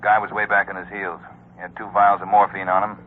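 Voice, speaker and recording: Guy doing a quick clipped police boss on an old radio show, lowish voice, very lo-fi. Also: flat delivery, natural voice. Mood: brisk. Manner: brisk, clipped. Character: brisk, gruff male police chief of detectives on telephone. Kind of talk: 1950s radio acting. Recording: tinny old radio recording.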